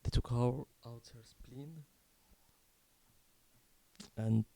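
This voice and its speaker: A man in his twenties from Morocco tells a personal story unscripted, in a halting, candid, casually sweary way. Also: mid-range voice, creaky, warm tone, rough recording. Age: 20s. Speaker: video creator telling his life story.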